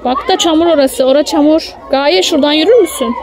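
A young mother speaks in a notably high-pitched voice. She talks casually to her small child, coaxing and affectionate. The phone recording is outdoors, with clear background noise. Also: a little fast, crowd noise. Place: outdoors in a theme park. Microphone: handheld phone, behind the camera. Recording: noisy outdoor phone video.

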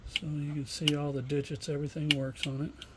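A middle-aged man giving a casual, unscripted product demonstration in a plainspoken, halting way. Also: a little high, little pitch movement. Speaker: online seller demonstrating used radio equipment. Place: indoor home workshop bench. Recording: room noise, homemade camera recording.

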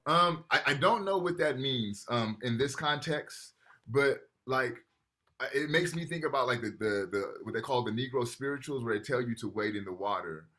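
A man's voice: medium pitch, expressive, normal speed, bright, forceful tone. A man teaching a university class over a video call, talking casually and often checking in with "right?" while reading texts aloud. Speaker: lecturer.

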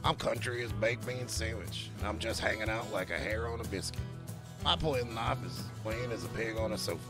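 A man's voice: on the low side, a little fast, close to an everyday voice. A man voicing a folksy, defiant country truck driver with a drawling, boastful manner in a game roleplay. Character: folksy, defiant country trucker, male, small-town desert local. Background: guitar music.

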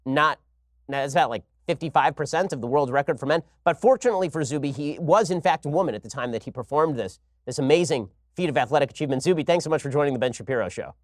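A man hosting a studio interview, talking quickly with a wry, sarcastic edge. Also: on the high side, expressive, dry recording. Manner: rapid-fire, wry, sarcastic. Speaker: host. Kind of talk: fast, joking interview hosting.

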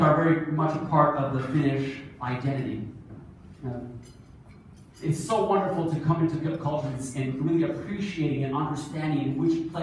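A man in his forties telling stories as a keynote speaker on stage, lively, asking the audience questions. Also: fairly high pitch, a little hiss or hum. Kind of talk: storytelling keynote speech. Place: large conference hall, on stage. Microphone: phone camera far from the stage. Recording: echoey hall recorded from far off.

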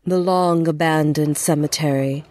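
A woman's voice: deep voice, steady tone, unhurried, warm tone, dry recording. A woman narrating an audio drama from a script as a storyteller.

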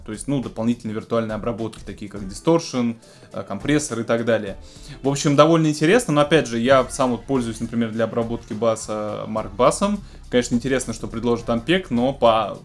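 Male host, 30s, medium-pitched voice, casual gear-news presenting to camera, background music. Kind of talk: news roundup to camera, semi-scripted casual talk. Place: small home studio room with keyboards.

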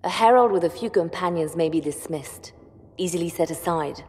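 An actress playing a shrewd, composed spymaster advisor in a video game, speaking in a measured, firm and persuasive way. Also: female voice, on the low side, darkish tone, big-room sound, close to an everyday voice. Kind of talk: dramatic video game dialogue.

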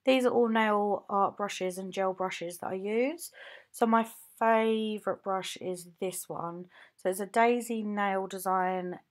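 A woman's voice: medium pitch, steady tone, takes it slowly. A woman nail technician from the UK, chatting casually as she shows her home studio on a handheld phone, rambling with lots of ums and likes. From United Kingdom. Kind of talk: casual show-and-tell vlog.

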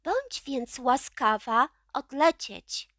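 A woman's voice: high-pitched, very animated, fairly bright tone, dry recording, clean studio sound, full character voice. Woman voicing a stern, commanding chief witch. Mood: angry command. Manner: imperious, slow, emphatic.

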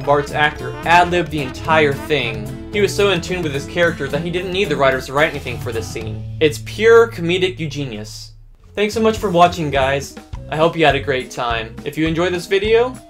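A man in his twenties presents a scripted countdown as a fan reviewer. His voice sits a little high, with faint guitar music playing behind it. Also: lively intonation, fairly quick. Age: 20s. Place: small indoor room with bookshelves.

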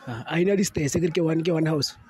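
A young man answering quiz questions casually outdoors on a school field, unsure and relaxed. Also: on the high side, quick pace. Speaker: interviewee. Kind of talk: casual chat, mixing languages. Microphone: handheld phone mic held by interviewer.

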